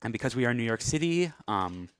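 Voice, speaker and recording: A man's voice: medium pitch, sing-song, quick pace, noisy background. A man giving casual, laid-back live commentary as he speedruns a video game, hesitant and dryly joking. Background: loud video game music and sounds.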